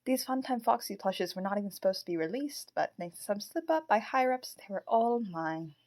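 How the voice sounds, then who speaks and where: Polite haunted puppet, mid-pitched woman acting. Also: expressive, a little fast, darkish tone, close to an everyday voice. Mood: proud, fond. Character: Marionette, polite, anxious, proud haunted puppet. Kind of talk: audio drama acting.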